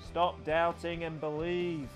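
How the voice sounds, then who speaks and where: A small, stern sceptic tells his tale as a man acts him out for a children's audiobook. He is blunt, colloquial and emphatic, pitched a little high. Faint music plays behind him. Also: level tone, slow, muffled recording. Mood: awed, earnest. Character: Thomas, small stern male sceptic, stubborn storyteller. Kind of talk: children's audiobook character reading.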